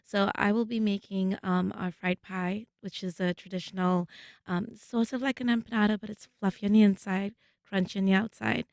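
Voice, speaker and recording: Woman, gravelly edge, dry close mic, radio guest. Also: mid-range voice, steady tone, moderate pace, a little mellow, no room sound. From Trinidad. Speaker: guest, food truck owner. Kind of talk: radio interview.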